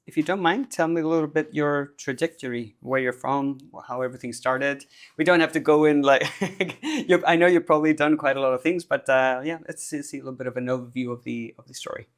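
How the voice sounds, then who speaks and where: Creaky-voiced man hosting an interview. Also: medium pitch. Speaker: interview host. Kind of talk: casual conversational interviewing.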